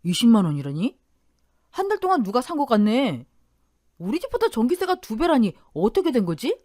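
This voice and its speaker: A woman's voice: on the high side, huge pitch swings, fairly quick, a little mellow, no room sound, clean studio sound, pitched above the performer's own voice. A woman playing a practical, agreeable young husband and father in radio story dialogue. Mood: surprised.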